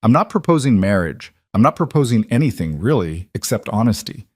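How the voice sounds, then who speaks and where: A man voicing a plainspoken woman seamstress in her late thirties as he reads her letter in a steady, blunt way. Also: on the low side, fairly quick, husky, dry recording, clean studio sound. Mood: frank.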